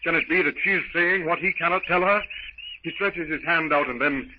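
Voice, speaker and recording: A man narrating a 1930s radio serial in a dramatic, theatrical, deliberate manner. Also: a little high. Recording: noisy muffled 1930s radio recording.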